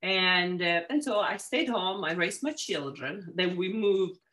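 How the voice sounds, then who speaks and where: A woman's voice: fairly low pitch, measured pace, rough recording. A woman in her forties from Sicily with an Italian accent, talking through her career as an interview guest with frequent restarts. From Sicily, Italy. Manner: warm storytelling with frequent restarts. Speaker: real estate agent, guest. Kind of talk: casual interview chat.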